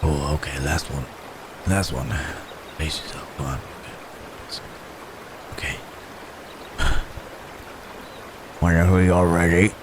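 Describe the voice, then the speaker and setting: Male actor as wounded werebeast in human form, ASMR drama. Extremely deep, gentle, troubled, sighing, old-fashioned wording. Clear rain-like ambience behind. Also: heavy background noise. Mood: pained. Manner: halting, formal old-fashioned phrasing, sighing. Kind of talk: ASMR roleplay acting.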